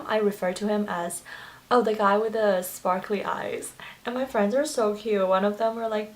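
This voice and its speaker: Woman in her 20s, Chinese first language, chatty get-ready-with-me beauty vlogger. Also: mid-range voice. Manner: chatty and gushing, with many fillers and restarts. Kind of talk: get-ready-with-me makeup tutorial chat.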